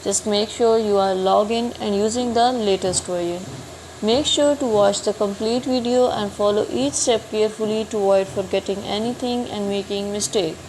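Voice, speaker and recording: Woman, tutorial host, mid-pitched voice, instructional delivery, simple non-native phrasing, faint background noise. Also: fairly even intonation, normal speed, darkish tone, low-quality recording. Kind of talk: step-by-step app tutorial narration.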